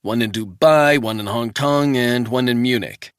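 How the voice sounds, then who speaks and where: A man voicing a brisk American agent answering questions on a call. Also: medium pitch, clean tone, no room sound, clean studio sound, voice pushed up, lightly acted.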